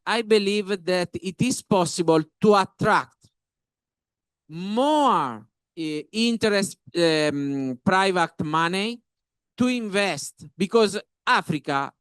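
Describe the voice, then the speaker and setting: A man with an Italian accent speaking on a panel in halting, emphatic non-native phrases. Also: high voice, a bit bright, smooth, no echo. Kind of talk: panel discussion remarks.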